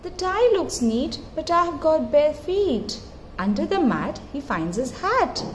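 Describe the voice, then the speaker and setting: A woman reading a picture book aloud to a small child in an expressive, singsong, encouraging way, pausing to give simple prompts. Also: sits high. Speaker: mother reading to her toddler. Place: tiled floor in a room at home. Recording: clearly noisy home phone recording.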